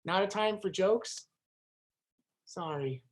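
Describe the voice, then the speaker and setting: A woman's voice: mid-range voice, slow pace, natural voice. A woman playing a flustered, stammering stage manager who scolds the narrator in a community stage play. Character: flustered female stage manager trying to keep the show going.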